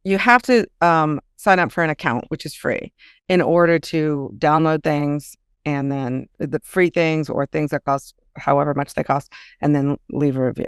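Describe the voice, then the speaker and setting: A woman's voice: deep voice, steady tone, moderate pace, smooth, dry recording, clean studio sound. A woman speaking as a guest author in an interview, explaining things in an informal, conversational way.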